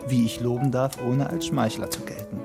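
An actor playing Frank, a charming, easygoing young gentleman, sociable and lightly flattering, in a radio play. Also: mid-range voice, moderate pace, vocal fry, loud music behind the voice, natural voice. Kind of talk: German radio play acting.

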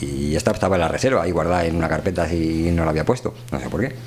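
A man's voice: rumbling low, racing, slight background noise. A man with a Castilian Spanish accent hosting a radio show in an informal, colloquial, rambling way. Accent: Castilian Spanish, Spain. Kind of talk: informal, chatty monologue and discussion.

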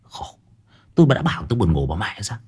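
A man voicing a grumpy young shaman who curses in a gruff, curt voice. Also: fairly low pitch, very animated, fast, darkish tone, heavily acted.

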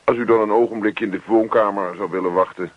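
An actor playing a puzzled, sardonic husband in a radio play, hesitant and given to dry muttering. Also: male voice, lowish voice, steady tone, fast, no echo, lightly acted. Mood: puzzled, wary. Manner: hesitant, dryly sarcastic, muttering. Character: puzzled, sardonic husband, youngish bank man. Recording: dull, lo-fi old radio play recording.

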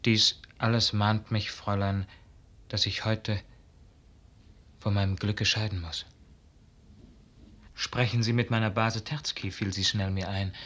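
Yearning young nobleman, low pitch, slow pace, wistful, lyrical, earnest, classical radio drama. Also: little pitch movement, fairly bright tone. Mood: tender, wistful.

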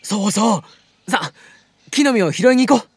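A man voicing a cheerful, relaxed younger brother who talks casually and calms his older brother, in a drama CD. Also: high voice, moderate pace, slightly rough, no echo, exaggerated voice. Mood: easygoing. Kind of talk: anime drama CD acting.

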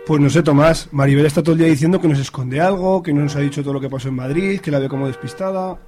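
Man acting blustery bigoted father, middle-aged, Spanish accent, rapid speech, raised pitch, radio drama. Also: warm tone, faint music behind, lightly acted. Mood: grumbling. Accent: Spain. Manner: blustery, grumbling. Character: grumbling bigoted hard-line conservative father. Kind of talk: colloquial radio drama dialogue.

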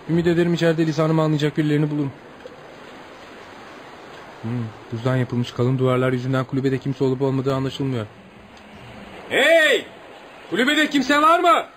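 Actor as eager, fast-talking adventurous male writer. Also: mid-range voice, lightly acted. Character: eager adventurous American male travel writer, chatty and stubborn. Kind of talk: radio play acting with spoken-aloud thoughts. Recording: clearly noisy radio drama recording.

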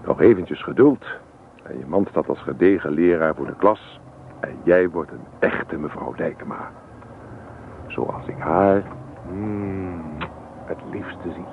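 An actor playing a restless, stubborn young student husband from a farming family in a radio play, sounding insistent and self-justifying. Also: male voice, deep voice, slow. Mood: coaxing, tender. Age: young adult. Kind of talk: Dutch radio play acting. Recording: noisy, thin, phone-like old radio recording.

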